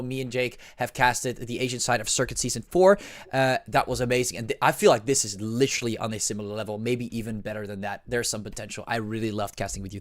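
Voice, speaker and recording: Man, Dutch accent, esports caster, chatty, filler-heavy, middle pitch. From Netherlands. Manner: chatty, many fillers and restarts. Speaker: esports caster, stream host. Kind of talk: live esports commentary and post-match chat.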